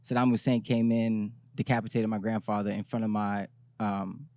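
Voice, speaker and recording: A man from Iraq answering interview questions softly and hesitantly, with pauses and fillers. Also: on the low side, little pitch movement, no echo, clean studio sound. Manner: hesitant, soft-spoken. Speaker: guest musician. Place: studio.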